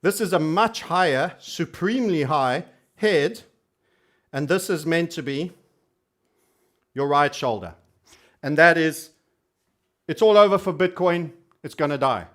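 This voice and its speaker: A man's voice: a little high, lively intonation, measured pace, long pauses, a bit bright. A man hosting an informal online trading commentary, speaking emphatically and repeating phrases for stress, with a cheeky, colourful way of putting things. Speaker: YouTube trading host.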